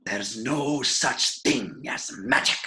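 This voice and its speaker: A man's voice: medium pitch, slow pace, natural voice. A man playing a stern, blustering middle-aged father in an amateur table read, recorded at home with noise and clicks. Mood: menacing.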